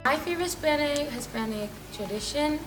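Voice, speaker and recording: A woman's voice: sits high, animated, fairly quick, distant mic, over music, poor audio. A teenage girl from El Salvador giving eager interview answers with many fillers. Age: teens. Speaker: interviewed student.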